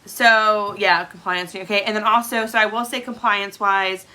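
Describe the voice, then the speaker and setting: Chatty woman, bright and congested. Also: mid-range voice, steady tone, normal speed, low-quality recording. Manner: chatty, repeats "okay?" to check in. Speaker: direct sales team leader. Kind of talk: live training for new sellers. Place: home room with a product shelf.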